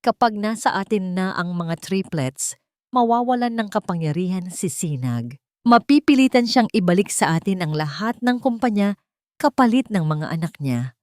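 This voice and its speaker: A woman's voice: mid-range voice, lively intonation, moderate pace, darkish tone, dry recording, studio quality, close to an everyday voice. A woman voicing a scheming wealthy older matriarch who gives orders with cold authority and cunning. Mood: commanding.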